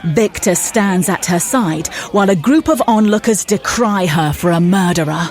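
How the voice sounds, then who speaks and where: A woman's voice: fairly low pitch, moderate pace, over music. A woman reading scripted narration between scenes of an audio drama.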